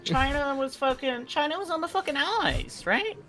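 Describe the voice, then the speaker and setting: A woman with a high, sharp voice bantering as a co-player on a game stream. She argues stubbornly, repeating herself and swearing, with very animated pitch. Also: moderate pace. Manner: stubborn, argumentative, repetitive, sweary. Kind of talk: casual gaming banter.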